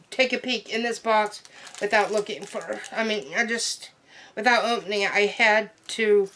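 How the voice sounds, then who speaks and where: A young woman chatting casually through an unboxing while sick, her voice nearly gone, excitable and full of ums. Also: on the high side, slight background noise. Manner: excitable, many ums and trailing asides. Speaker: unboxing vlogger.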